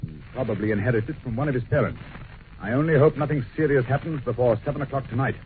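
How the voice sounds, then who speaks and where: A man acts a masterful radio-drama sleuth with a medium-pitched voice. He is brisk, incisive and sure of himself, on a phone-like old broadcast with faint noise. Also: close to an everyday voice. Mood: analytical, grave. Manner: incisive, commanding, self-assured. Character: brisk, masterful, analytical detective. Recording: tinny old radio recording, faint hiss.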